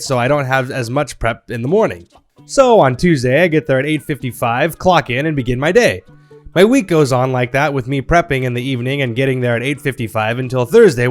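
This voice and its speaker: A man reading online stories aloud in a playful, wry storytelling manner. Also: medium pitch. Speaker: narrator reading Reddit stories.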